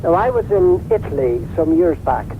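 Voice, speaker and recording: A man's voice: a little high, animated, normal speed. A man with a Donegal Irish accent phoning in to a radio show and telling a story, acting out the voices he quotes. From Ireland. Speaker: radio caller. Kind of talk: phone-in anecdote. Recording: tinny phone line with loud noise.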